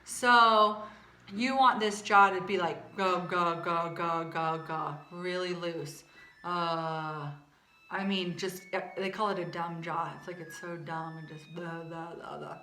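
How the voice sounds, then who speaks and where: Bright-voiced choir teacher, woman. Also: steady tone, slow, echoey room, slight background noise. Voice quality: naturally low speaking voice. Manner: chatty, demonstrates belting and singing. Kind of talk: vocal warm-up lesson with sung demonstrations.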